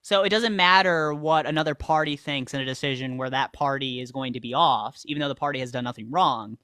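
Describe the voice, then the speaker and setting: A man's voice: mid-range voice, a little fast, pushed and bright, smooth, no room sound, clean studio sound. A man hosting a livestream, debating a caller with pressing, pointed questions. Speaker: livestream host.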